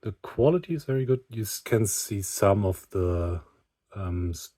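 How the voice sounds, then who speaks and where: A man giving an unscripted hobby product review, speaking haltingly with pauses in mid-sentence. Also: fairly low pitch, expressive, dark tone.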